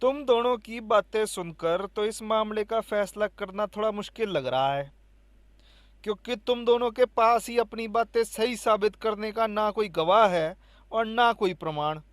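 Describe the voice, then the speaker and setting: A woman's voice: mid-range voice, measured pace, no echo, close to an everyday voice. A woman voicing a sober, authoritative village headman with a formal, deliberating manner in a cartoon story. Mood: measured, doubtful.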